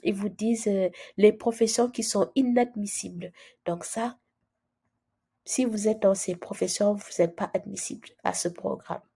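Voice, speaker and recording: A woman walks viewers through a website in a relaxed, chatty way, pausing often and restarting her sentences. She has a cold and keeps her voice low, so it comes out husky. Her tone is mellow and dark rather than bright. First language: French. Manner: chatty, hesitant with frequent fillers and restarts. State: has a cold, speaking softly. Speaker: immigration channel host. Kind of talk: screen-share tutorial, casual explaining.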